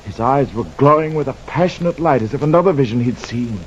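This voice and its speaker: A man's voice: medium pitch, expressive, close to an everyday voice. An actor playing a haunted, penitent mariner who tells his tale in grave, poetic radio-drama verse. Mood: solemn awe. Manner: slow, solemn, impassioned, poetic delivery. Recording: dull lo-fi radio recording, clear noise.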